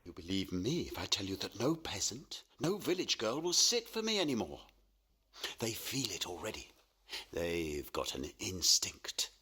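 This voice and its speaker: Radio play: troubled, emphatic painter father, rough-edged voice, animated intonation. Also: fairly low pitch, unhurried, exaggerated voice. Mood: shaken, brooding. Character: proud, troubled male painter, father of a grown daughter.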